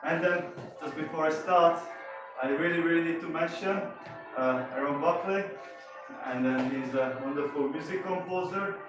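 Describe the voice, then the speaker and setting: A man's voice: a little high, moderate pace. Rambling man talking to an audience. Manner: rambling, friendly, loose phrasing with many fillers. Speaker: painter presenting his work. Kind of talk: casual talk to a live audience. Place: gallery event with a live audience. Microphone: handheld mic, heard from a distance. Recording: very echoey room, far from the mic, music behind.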